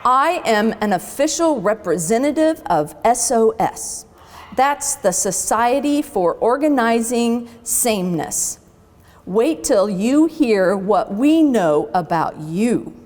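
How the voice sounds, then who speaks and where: A woman's voice: fairly high pitch, animated, takes it slowly, darkish tone. Lady doing a bossy officious wild boar inspector for a kids story, very emphatic, big echo. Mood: pompous, accusing. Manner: pompous, emphatic, declaiming. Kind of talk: children's storybook read-aloud.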